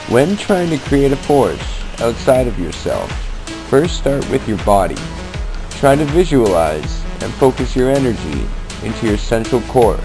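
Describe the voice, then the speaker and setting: Guy playing calm occult teacher, gravelly low voice, measured explaining, music behind him, homemade radio podcast. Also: rough recording. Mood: guiding. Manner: measured, explanatory, addresses listener as friend. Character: calm occult practitioner teaching spiritual protection. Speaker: podcast host playing a practitioner of spirit work. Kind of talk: scripted instructional narration in old-time radio style. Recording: homemade low-budget recording with music underneath. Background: clear background music.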